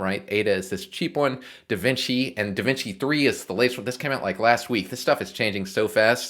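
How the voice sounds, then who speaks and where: Low-pitched man explaining code casually. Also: fairly bright tone. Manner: explanatory, informal, often checking in with "right?". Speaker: programming tutorial host. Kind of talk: casual walkthrough of code in a screencast tutorial.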